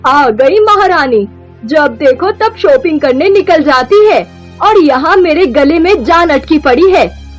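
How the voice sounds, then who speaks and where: A scornful middle-aged mother-in-law played by a woman in a cartoon moral story. Her voice is very high, and she scolds and taunts with theatrical impatience. Music plays lightly behind her. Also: animated, a little slow. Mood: irritated scolding. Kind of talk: melodramatic Hindi moral-story cartoon acting.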